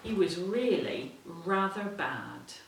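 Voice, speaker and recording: A woman reads a picture book aloud for children in an expressive storytelling manner. Her pitch moves a lot and her pace is unhurried. The recording is echoey, with the mic a little way off. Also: vocal fry, slight background noise. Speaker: primary school teacher reading aloud. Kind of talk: children's storybook reading with asides. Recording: echoey room, distant mic.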